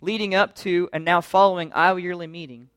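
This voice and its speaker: A man's voice: sits high, fairly even intonation, fairly quick, a bit bright, smooth, no echo. A man pastor preaching a sermon in an earnest, conversational way, full of rhetorical questions.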